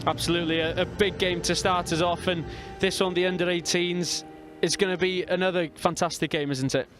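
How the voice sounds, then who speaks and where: A man from North Wales with a North Welsh accent, calling a rugby match as live commentator in a measured, steady way. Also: sits high, soft background music. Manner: measured, reads out team lines steadily.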